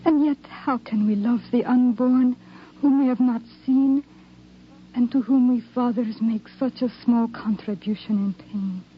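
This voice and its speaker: Refined young soldier's wife, wistful and composed played by a woman, fairly high voice, poetic old radio drama delivery. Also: fairly even intonation, measured pace, close to an everyday voice. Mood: tender, solemn. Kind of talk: 1950s radio drama acting. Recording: dull, noisy 1950s radio recording.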